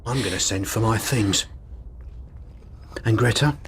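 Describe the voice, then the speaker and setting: A man's voice: lowish voice, measured pace, background noise. An actor playing a stern, disdainful, impatient man in a radio drama. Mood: cold.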